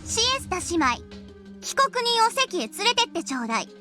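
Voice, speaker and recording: A woman's voice: extremely high pitch, sing-song, racing, music in the background. An actress playing a capricious, haughty young witch in a game, playful and commanding. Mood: bossy, playful.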